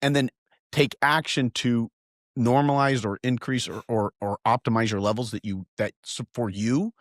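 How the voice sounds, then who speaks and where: A man's voice: mid-range voice, normal speed, no echo. Earnest middle-aged man, dry sound. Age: around 50. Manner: animated storyteller, earnest and emphatic. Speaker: podcast guest. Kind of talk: casual podcast conversation.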